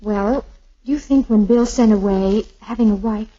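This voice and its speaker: Young bride, anxious and tender, actress, 1940s radio drama, light mellow voice, muffled old broadcast. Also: female voice, on the high side, moderate pace, lightly acted. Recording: dull 1940s radio recording.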